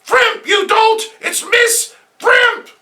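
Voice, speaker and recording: Haughty villainess acted by a man, shrill and piercing, melodramatic indignation, vicious comic-book schemer. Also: extremely slow, sharp tone, exaggerated voice. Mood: furious.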